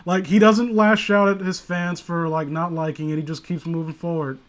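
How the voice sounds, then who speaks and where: A man's voice: a little high, level tone, moderate pace. A man casually hosting an online group commentary, rambling through his opinions with plenty of swearing. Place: remote online voice call.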